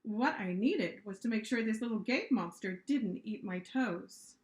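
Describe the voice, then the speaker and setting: Female children's author for expressive picture-book narration, mid-pitched voice, recorded in a roomy space. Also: a little slow. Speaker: author reading aloud. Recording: echoey room.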